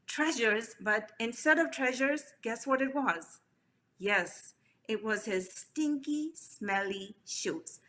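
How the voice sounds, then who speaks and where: A woman storyteller whose first language is Urdu, telling children's folk tales with lively expression. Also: sits high. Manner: animated, expressive, addresses the children. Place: library event.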